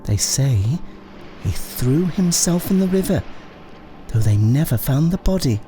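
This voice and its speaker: A man voicing a gossipy, uneasy woman in a horror story reading, talking in a quickened conversational way. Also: a little high, animated, warm tone, slight background noise, pitched above the performer's own voice.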